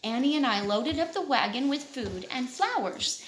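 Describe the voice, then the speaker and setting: A woman reading a children's picture book aloud with an expressive, lilting delivery. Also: sits high, moderate pace. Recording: echoey room.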